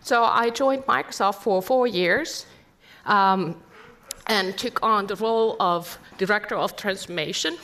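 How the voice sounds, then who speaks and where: A woman's voice: mid-range voice, unhurried, fairly bright tone, low-quality recording. A middle-aged woman from Finland with a Finnish accent, giving an earnest conference presentation full of rhetorical questions. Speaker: conference speaker.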